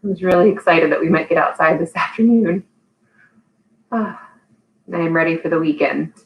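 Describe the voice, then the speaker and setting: A woman's voice: on the low side, normal speed, a little hiss or hum, poor audio. A woman hosting a live storytime for young children, speaking in an encouraging, child-directed way that turns sing-song when she leads activities. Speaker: early childhood storytime host and teacher.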